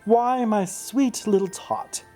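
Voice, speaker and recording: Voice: medium pitch, slow, mellow, faint music behind, pitched above the performer's own voice, natural voice. A storyteller voicing a sly, mean, gleeful Grinch who hisses and speaks with mock sweetness. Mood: falsely sweet. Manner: hissing, slick, mock-sweet.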